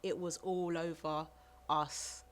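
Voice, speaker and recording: A woman's voice: low-pitched, flat delivery, takes it slowly, a little hiss or hum. A woman, talking about her heritage in a documentary interview. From South London. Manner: chatty, many fillers like "like" and "um". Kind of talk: personal testimony.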